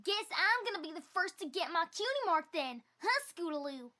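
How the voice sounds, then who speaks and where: A woman playing a young, earnest, excitable pony filly in an audio drama, with informal childlike speech. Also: extremely high pitch, moderate pace, exaggerated voice. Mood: cheerful, teasing.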